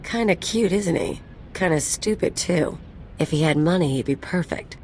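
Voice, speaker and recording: A woman playing a vain, flippant mercenary sidekick in a video game, teasing and self-assured, with faint rumbling noise behind her. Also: animated, moderate pace, darkish tone, natural voice. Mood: teasing, smug.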